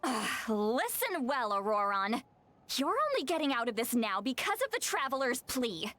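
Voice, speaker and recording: High-pitched, scolding feisty granny. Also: female voice, very animated, normal speed, fairly bright tone. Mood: grudging, firm. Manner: stern, scolding, dramatic. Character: feisty, scolding granny, exasperated, sharp-tongued. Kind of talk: video game voice acting.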